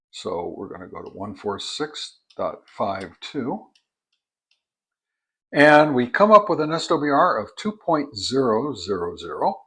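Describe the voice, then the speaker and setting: A man's voice: mid-range voice, fairly bright tone, breathy edge. A man giving a casual product review and test demonstration, explaining each step methodically as a hobbyist reviewer. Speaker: ham radio product reviewer.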